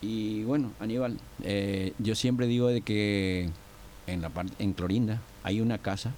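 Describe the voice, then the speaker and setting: An older man from Argentina, a guest on a radio show, telling his story in a rambling, halting way with many fillers. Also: lowish voice, steady tone, a little mellow, a little hiss or hum. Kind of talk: casual radio interview.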